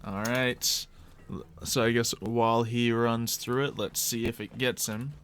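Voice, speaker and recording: A man with a bright, forward voice runs a tabletop roleplay game as game master. He narrates casually and conversationally, making it up as he goes, at a middling pitch. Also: fairly even intonation, measured pace, slight background noise.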